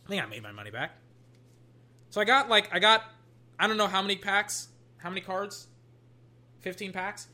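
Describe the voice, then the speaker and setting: A young adult, slightly hoarse, chatting casually while opening card packs at a desk, swinging between loud hype and low muttering and swearing freely. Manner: swears casually, trails off and restarts. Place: at a desk indoors.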